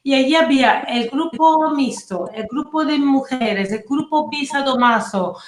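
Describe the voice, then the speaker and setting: A woman from Naples with an Italian accent, a native Italian speaker, telling personal stories as an activist guest in a casual interview, animated and full of restarts. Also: sits high, a little slow. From Italy, Naples. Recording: roomy echoey room.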